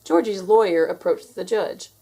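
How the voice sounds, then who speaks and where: A woman reading a children's story aloud as an expressive narrator. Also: medium pitch, normal speed, vocal fry, a little hiss or hum, low-quality recording. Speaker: storybook reader.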